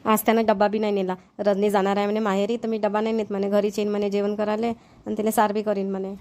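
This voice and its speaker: A woman's voice: medium pitch, flat delivery, fast talker, a little mellow, close to an everyday voice. A woman playing a kindly, talkative older mother-in-law in a village cartoon, with a rural Maharashtrian Marathi accent and homely manner. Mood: chatty. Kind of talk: Marathi cartoon family comedy voice-over.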